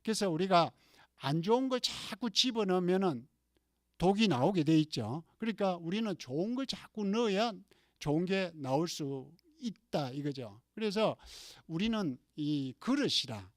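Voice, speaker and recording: Male pastor with a Gyeongsang accent, conversational sermon full of rhetorical questions, fairly high voice, dry recording. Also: expressive, normal speed, no echo. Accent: Gyeongsang Korean. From Gyeongsang region, Korea. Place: church.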